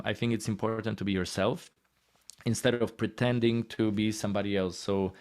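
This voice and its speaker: A man with a Polish accent giving a casual, encouraging presentation in short conversational phrases. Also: on the low side. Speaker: guest speaker on healthcare marketing.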